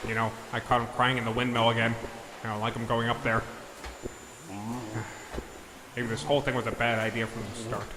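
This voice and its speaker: A man's voice: medium pitch, little pitch movement, fairly quick, long pauses, natural voice. A man playing an exasperated villain in a comedic audio drama, fretting and scolding melodramatically like a disappointed parent, with deflated sighs. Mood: deflated, fretful. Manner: melodramatic, fussy scolding mixed with deflated sighing. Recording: echoey hall reverb, faint noise.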